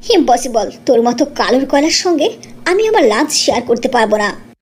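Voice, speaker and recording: A woman's voice: sits high, very animated, fairly quick, darkish tone. A woman voicing a vain, spiteful young schoolgirl who scolds haughtily in a cartoon story. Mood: haughty.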